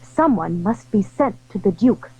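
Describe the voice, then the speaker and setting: A woman's voice: mid-range voice, lively intonation, measured pace, warm tone, husky, dry recording. A woman playing an earnest, emotional young lady of a royal household in a theatrical audio drama. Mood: devoted, reassuring. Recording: slightly dull 1980s audio drama recording.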